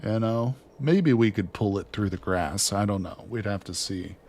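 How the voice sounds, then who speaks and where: A man streaming a video game, chatting excitedly to his viewers as he plays, losing his voice near the end of a long session. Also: fairly low pitch, normal speed. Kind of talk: casual gameplay commentary.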